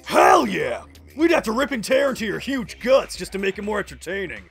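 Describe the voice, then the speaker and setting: A man voicing a crude, rowdy, bloodthirsty mascot heckler with a gravelly voice in an anime fan dub. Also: sits high, big pitch swings, fairly quick, a bit bright, faint music behind, exaggerated voice.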